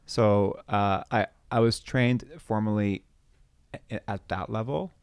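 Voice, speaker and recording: A man's voice: on the low side, steady tone, a little slow, clean tone, no room sound. A middle-aged man from Canada, a podcast guest talking reflectively with frequent restarts and hesitations. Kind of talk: conversational interview.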